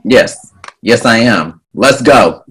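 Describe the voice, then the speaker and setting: A man playing a cocky, wisecracking hero with a casual, deadpan manner in a screenplay table read. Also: mid-range voice, slow, a little hiss or hum.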